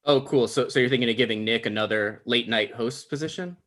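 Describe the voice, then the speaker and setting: Male, actor as vain, insecure host for a comedy table read; middle pitch, dismissive, quick to argue. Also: fairly bright tone, natural voice. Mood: wounded. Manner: dismissive, argumentative. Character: self-important insecure male would-be talk show host.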